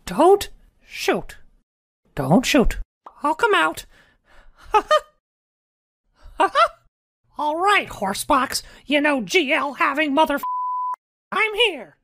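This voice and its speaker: A performer voicing a gleefully mean male cartoon mouse crime boss in a parody radio drama, squeaky, giggly and taunting. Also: very animated, slow pace, pauses often, a bit bright, exaggerated voice. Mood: startled, giggly. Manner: mocking, taunting.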